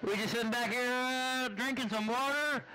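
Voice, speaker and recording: A man's voice: extremely high pitch, fairly even intonation, normal speed, bright, forceful tone, a little hiss or hum, poor audio. A man chatting casually over CB radio in a folksy, teasing, slangy way. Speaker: CB radio operator.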